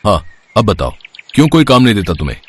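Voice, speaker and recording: A man voicing a wealthy, trusting factory owner, measured and reassuring, in a moral story. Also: lowish voice, sing-song, slightly rough. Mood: questioning, firm. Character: kindly, trusting wealthy male factory owner, calm boss. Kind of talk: Hindi moral story voice acting.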